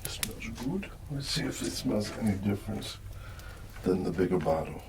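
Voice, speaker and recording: A middle-aged man hosting an ASMR video, rambling casually and softly with a hesitant delivery. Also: fairly low pitch, animated, normal speed, background noise. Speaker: ASMR channel host.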